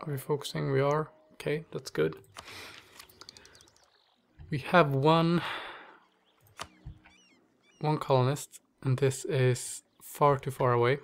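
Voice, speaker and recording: A man's voice: fairly high pitch, fairly even intonation, slow, creaky, pauses often. A man with a stuffy nose and a slightly strained voice, hosting casual strategy game commentary, thinking aloud with many fillers. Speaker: gaming channel host.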